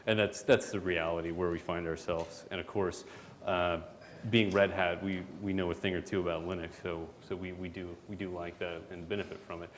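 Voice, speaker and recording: A man giving a relaxed, joking conference talk as a product manager, full of fillers. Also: sits low, fairly quick. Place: conference hall. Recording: hall reverb, faint background noise.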